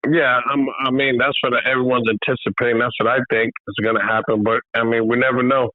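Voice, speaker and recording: A man being interviewed as a boxing trainer over a video call, talking in a relaxed way with many "you know"s. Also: medium pitch, brisk, no room sound. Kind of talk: casual remote interview. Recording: tinny phone-like call audio.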